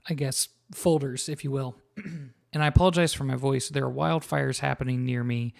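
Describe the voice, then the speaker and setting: A man with a hoarse, scratchy voice, casually walking through a software instrument as a reviewer, with many fillers and restarts. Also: medium pitch, normal speed. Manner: relaxed, filler-heavy, restarts sentences. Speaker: sample library reviewer. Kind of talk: casual product walkthrough.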